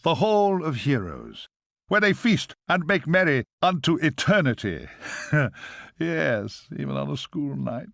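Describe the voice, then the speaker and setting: A teasing, droll guide in a video game. The voice is creaky and slow, with a dry close sound over soft music. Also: male voice, fairly high pitch, big pitch swings, dry recording, heavily acted. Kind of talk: video game character acting.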